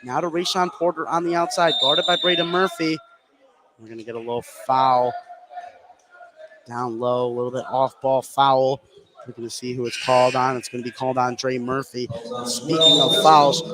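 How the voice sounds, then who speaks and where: A man doing live play-by-play basketball commentary on the radio, raising his voice into excited shouts on big plays. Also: fairly high pitch, moderate pace. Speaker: play-by-play announcer. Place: indoor school basketball gym, live courtside. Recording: noisy live gym broadcast.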